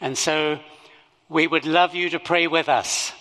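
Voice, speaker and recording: An older man with a Zimbabwean accent, speaking as a missionary at a church service, slow and halting with many fillers. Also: a little high, fairly even intonation, sharp tone, long reverb tail, poor audio. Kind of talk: sermon.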